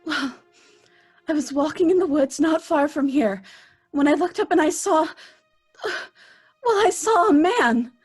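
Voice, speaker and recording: An actress playing a devoted, genteel young fiancée in old-time radio drama style. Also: female voice, high-pitched, animated, measured pace, long pauses, darkish tone, soft background music. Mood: shaken.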